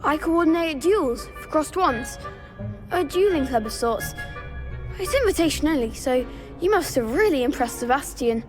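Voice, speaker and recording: High-voiced, confident schoolgirl. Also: lively intonation, normal speed, music in the background. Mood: eager, confident. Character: bright, confident schoolgirl dueling club organiser. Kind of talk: scripted video game acting.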